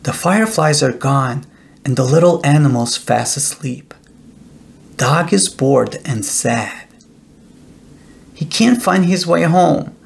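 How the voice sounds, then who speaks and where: A middle-aged man narrating a children's bedtime story in a deliberate, expressive storytelling way. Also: fairly high pitch, vocal fry. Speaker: author reading own children's book.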